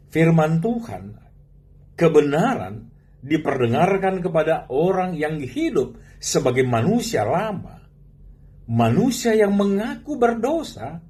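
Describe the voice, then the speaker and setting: Man, preacher, sermon delivery, slow deliberate pace, lively intonation, emphatic phrasing. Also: a little high. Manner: rhetorical questions and emphatic, drawn-out phrasing.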